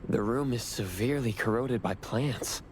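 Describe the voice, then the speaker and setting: A man playing a quiet, hesitant young investigator in a video game, with a husky voice. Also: medium pitch, moderate pace, a little hiss or hum, lightly acted. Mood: puzzled, hesitant. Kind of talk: soft video game dialogue.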